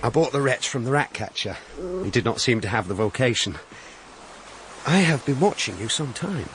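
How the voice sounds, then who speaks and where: An actor playing a grave, gentle Victorian clergyman in a radio drama, formal, deliberate and reserved as he courts. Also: medium pitch, expressive, crowd noise, natural voice, low-quality recording. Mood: amused. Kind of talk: period radio drama acting.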